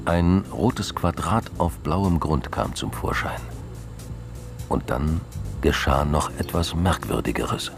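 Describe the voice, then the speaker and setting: A man with a gravelly voice narrating a scripted crime drama in a studio. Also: extremely low pitch, slow pace. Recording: music behind.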